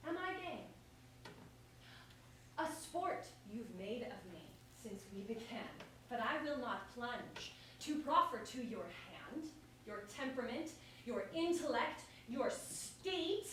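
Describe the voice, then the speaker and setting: A woman plays a witty, defiant common woman trading verse insults in a stage comedy. Her high voice swoops theatrically with biting scorn. It is heard far from the mic in a very echoey room. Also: big pitch swings, takes it slowly, fairly bright tone, slight background noise, full character voice.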